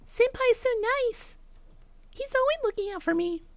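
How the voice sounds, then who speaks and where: A woman's voice: very high voice, lively intonation, moderate pace, dry recording, pitched above the performer's own voice. A woman voicing a sweet, clingy, anxious yandere schoolgirl in a visual novel, with a cutesy, pleading manner. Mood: adoring.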